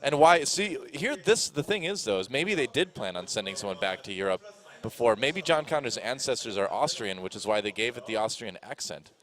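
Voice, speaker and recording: A man working as an esports commentator, riffing in casual, animated, joking banter with a co-host. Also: medium pitch, fairly quick.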